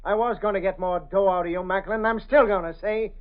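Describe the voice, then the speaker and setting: A man's voice: high voice, steady tone, fast talker, natural voice. An actor playing a brash, cornered crooked inspector in a vintage radio drama, talking slangy and defiant like a tough guy. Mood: defiant, surly. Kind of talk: 1930s-40s radio drama acting. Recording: muffled old radio recording.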